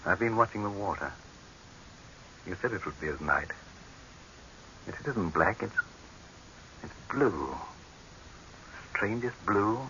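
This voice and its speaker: An actor playing a vain, commanding dictator in a 1930s radio horror drama, falsely affable and uneasy, with a pompous, condescending, repetitive way of talking. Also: male voice, lowish voice, expressive, slow pace, long pauses, bright, forceful tone, full character voice. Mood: uneasy, coldly displeased. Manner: pompous, condescending, repeats himself. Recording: muffled, noisy old 1930s radio recording.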